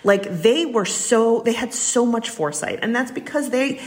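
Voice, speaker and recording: A woman's voice: on the high side, moderate pace. A woman answering as an interview guest, speaking conversationally in an earnest, emphatic way.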